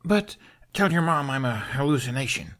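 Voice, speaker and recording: An awkward young Viking runaway, played by a man in an audiobook. He speaks in a lifted pitch, hesitant and evasive but earnest. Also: animated, moderate pace, fairly bright tone, close to an everyday voice. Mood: nervous, evasive.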